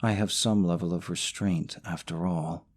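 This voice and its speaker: A man's voice: low-pitched, steady tone, normal speed. A young man acting in an audio roleplay as a scheming, possessive boyfriend, falsely sweet and coaxing, snide about his rival. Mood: coaxing, menacing.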